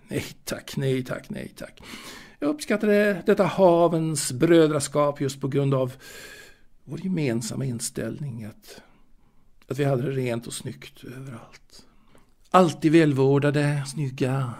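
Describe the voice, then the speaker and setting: An actor playing a fastidious, proud waiter and former seaman in an audio drama monologue, confiding in a halting, repetitive way. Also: fairly high pitch, vocal fry. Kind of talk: intimate dramatic monologue, told to a listener.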